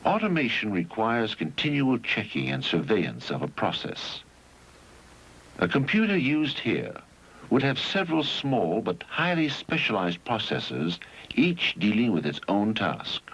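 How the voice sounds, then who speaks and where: A man reading scripted educational film narration in a measured, didactic way with deliberate phrasing, on a 1971 film soundtrack. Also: fairly low pitch, huge pitch swings, a bit bright, slightly rough, rough recording.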